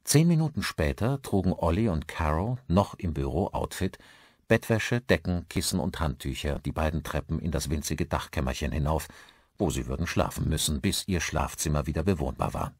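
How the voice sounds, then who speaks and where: A man narrating a thriller audiobook in a measured, articulate storytelling manner. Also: extremely low pitch, animated, slightly rough, no room sound, clean studio sound.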